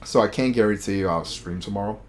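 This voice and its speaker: A man livestreaming a game, chatting casually in slangy, animated commentary and reading on-screen text aloud. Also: fairly low pitch, fast.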